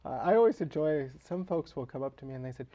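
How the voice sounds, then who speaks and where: A man speaking as an interview guest and author, telling anecdotes with dry, deadpan humour. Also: on the high side, fast talker, lo-fi sound, crowd noise. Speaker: interview guest, local novelist.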